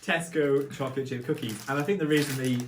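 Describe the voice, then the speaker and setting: A man's voice: mid-range voice, quick pace, over music. A man from Wales, co-hosting a casual food tasting chat in a chatty, explanatory way with joking asides. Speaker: co-host. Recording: roomy room with faint noise.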